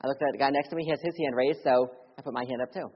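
Young guy from philly preaching, husky voice, goes loud then real quiet, long echo in a church, kinda dull sound. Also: fairly even intonation, rapid-fire. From Philadelphia. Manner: earnest, swings between loud urgency and quiet hushed lows. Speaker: missionary preacher. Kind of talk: sermon with personal testimony. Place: church sanctuary.

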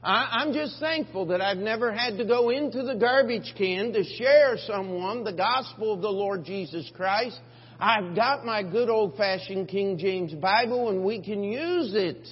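Slow deliberate preacher guy, emphatic on scripture, echoey church tape, muffled. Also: slightly rough. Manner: emphatic, rising on scripture, dropping low for asides. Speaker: pastor. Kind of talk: preaching a sermon. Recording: dull lo-fi church recording with hall reverb and faint hum.

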